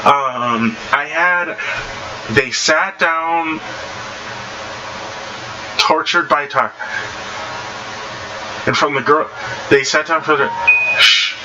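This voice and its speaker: Man reading stories aloud over clear noise. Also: on the high side, extremely slow, pauses often. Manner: stumbles and rereads phrases. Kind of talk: casual read-aloud with off-the-cuff reactions. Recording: noisy amateur recording.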